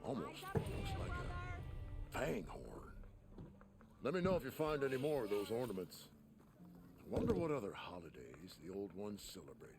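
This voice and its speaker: An actor playing a hearty old wordsmith in a video game, telling things in a grandiose, theatrical, gravelly voice. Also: male voice, lowish voice, huge pitch swings, normal speed. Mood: curious, jovial. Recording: music behind the voice.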